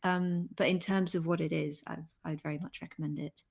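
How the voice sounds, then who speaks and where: A woman's voice: low voice, fairly even intonation, brisk, gravelly, darkish tone. A woman interviewer chatting casually, informal and agreeable in her way of talking.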